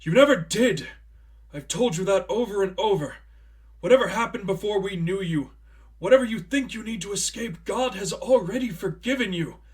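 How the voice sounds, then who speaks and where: A man voices an anguished, kindly priest in a dramatic story reading. He speaks with earnest, protective emphasis, his pitch lifted and his delivery pushed, as an uncle who cares deeply. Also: lively intonation, moderate pace. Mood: desperate pleading.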